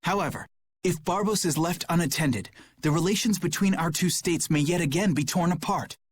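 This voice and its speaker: Dutiful young knight, anime game: higher male register, husky edge, formal, sincere, courteous. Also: normal speed. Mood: earnest, formal. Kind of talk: anime video game voice acting. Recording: background music behind the voice.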